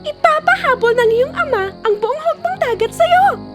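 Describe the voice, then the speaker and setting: A woman voicing an anxious young royal attendant in an animated fairy tale, fretful and full of questions. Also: very high voice, normal speed, over music. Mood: worried.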